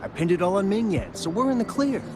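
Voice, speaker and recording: An actor voicing a smug, callous crook in a video game, cocky and dismissive. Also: male voice, high-pitched, lively intonation, quick pace. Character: smug, callous male crook posing as exile. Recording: clear background ambient noise.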